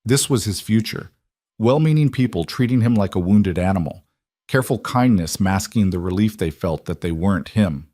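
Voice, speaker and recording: A man narrating a scripted western story in a measured, calm storytelling manner. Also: lowish voice, no echo, studio quality.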